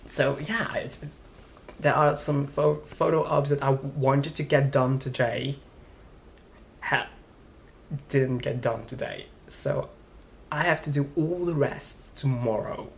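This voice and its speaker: A man's voice: medium pitch, fairly even intonation, takes it slowly, vocal fry, long pauses, slight background noise. A young man from Flanders, rambling and hesitant as he complains in a casual vlog. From Belgium, Flanders. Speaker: vlogger.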